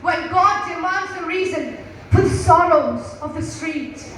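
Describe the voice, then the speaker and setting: Young female reciter, teens, high voice, very slow declamatory delivery, student contest style. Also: fairly bright tone. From Kerala, India. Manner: declamatory, deliberate drawn-out phrasing. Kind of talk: dramatic poetry recitation. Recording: echoey hall, distant mic, faint noise.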